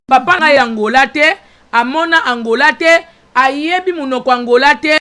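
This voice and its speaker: Congolese woman, talk host, high and forceful, emphatic repetitive monologue full of rhetorical questions. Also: moderate pace. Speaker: online talk show host. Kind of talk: informal opinionated monologue.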